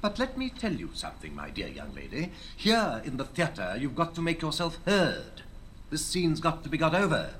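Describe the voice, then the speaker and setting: An actor playing a blustering theatre producer running a rehearsal, bossy, emphatic and easily exasperated. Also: male voice, fairly high pitch, wildly swooping pitch, normal speed, fairly bright tone, a little hiss or hum. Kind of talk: stage drama acting.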